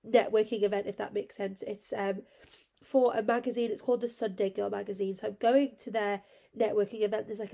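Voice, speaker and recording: A woman's voice: mid-range voice, gravelly, dark tone. A young woman hosting her podcast from her bed, stuffed up with a cold and chatting in a rambling, casual way. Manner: chatty and rambling, with frequent fillers. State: congested with a cold. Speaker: podcast host. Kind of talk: casual solo podcast chat. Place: at home, in bed.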